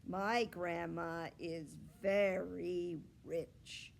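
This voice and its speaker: A woman voicing eager young second-grade schoolchildren, loudly and proudly declaiming their answers. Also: lowish voice, fairly even intonation, drawn-out words, vocal fry, exaggerated voice. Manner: loud, declaiming short answers.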